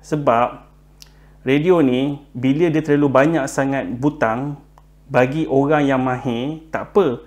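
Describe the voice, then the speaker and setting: A man with a Malaysian accent presents an informal tutorial as a channel host, mixing in English words and often pausing on hesitant fillers. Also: medium pitch, fairly even intonation, a little mellow. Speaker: YouTube channel host giving buying tips.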